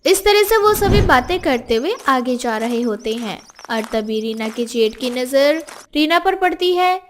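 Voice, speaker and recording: A woman's voice: sits high, animated, a little slow, a little hiss or hum. A woman reading scripted story narration as the narrator.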